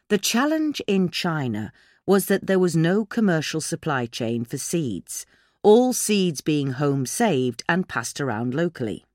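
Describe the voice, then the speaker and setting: A woman's voice: low voice, no room sound, clean studio sound. A woman reading a magazine article aloud as scripted narration.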